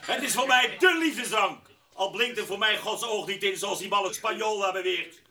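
A man's voice: high voice, lively intonation, moderate pace, very noisy. A male actor playing a rowdy, wine-loving grape-picker ringleader in a radio drama, boisterous and mock-solemn. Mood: florid, mock-solemn. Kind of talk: Dutch radio drama acting.

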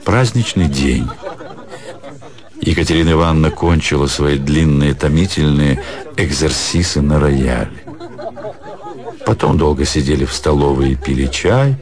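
Deep-voiced male storyteller. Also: a little slow, pauses often, crowd noise. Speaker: narrator, actor. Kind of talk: dramatised literary narration.

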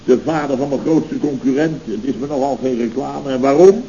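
Male actor as pompous contractor, dark soft-edged voice, grandiloquent, indignant, rambling, radio comedy, muffled old recording. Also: medium pitch, brisk, a little hiss or hum, natural voice. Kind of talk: scripted radio comedy acting.

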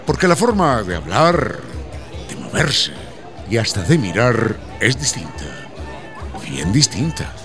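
An actor narrating a radio soap as its storyteller, in a dramatised scripted style. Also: male voice, mid-range voice, very animated, takes it slowly, gravelly, long pauses, crowd noise, full character voice. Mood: wry storytelling. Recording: music behind the voice.

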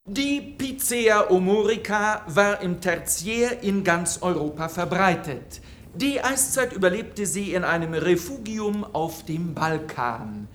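A woman's voice: lowish voice, slight background noise. A woman playing a factual narrator who recites botanical history like a scripted lecture in a radio play.

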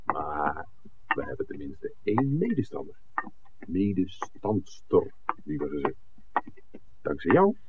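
A shrewd film scout in a vintage radio drama. His deep voice moves expressively, with dry teasing and deliberate phrasing. The old recording is noisy and muffled. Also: huge pitch swings, heavily acted. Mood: wry, emphatic. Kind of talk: 1960s radio drama acting.

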